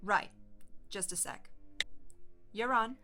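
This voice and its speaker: A woman's voice: mid-range voice, takes it slowly, pushed and bright, soft background music. An actress playing a wary, combative young woman in a naturalistic audio drama, blunt and challenging. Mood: probing. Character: Faith, wary combative young woman refusing to open the door.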